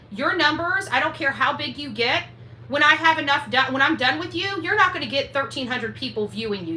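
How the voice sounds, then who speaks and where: High-pitched, combative woman livestreamer. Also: bright, forceful tone. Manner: combative, indignant, emphatic, repetitive. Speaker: livestream host. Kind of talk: livestream commentary rant. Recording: roomy echo, faint background noise.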